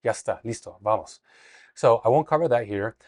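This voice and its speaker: A man teaching a casual Spanish lesson in a relaxed, explanatory way, often restarting his sentences. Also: mid-range voice, fairly quick, no echo, clean studio sound.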